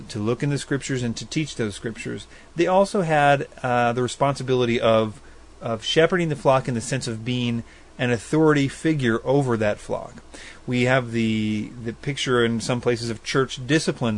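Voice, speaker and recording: A man hosts a faith-based call-in style radio program and works through listener questions in a relaxed teaching voice. He is earnest and thoughtful. He weighs his points as he goes and lays them out plainly. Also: mid-range voice. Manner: earnest and thoughtful, spells out addresses slowly. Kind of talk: casual explanatory Bible teaching.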